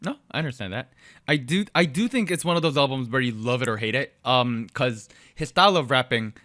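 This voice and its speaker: A man's voice: medium pitch, expressive, brisk, pushed and bright, dry recording, clean studio sound. A man co-hosting a music podcast in casual chat, rambling with frequent ums and self-corrections. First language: Korean. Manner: rambling, many ums and restarts, laughs at himself. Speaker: podcast co-host.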